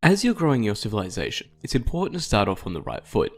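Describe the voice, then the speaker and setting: A man's voice: fairly low pitch, huge pitch swings, fast talker. A man reading scripted tutorial narration for a strategy game, instructing step by step.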